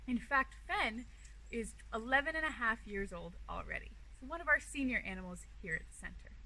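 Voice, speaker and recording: A woman's voice: a little high, animated, brisk, pushed and bright, rough recording. A woman wildlife educator hosting a children's storytime outdoors, explaining and narrating with emphatic storytelling phrasing. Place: outdoors beside an animal enclosure. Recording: outdoor recording with faint background noise.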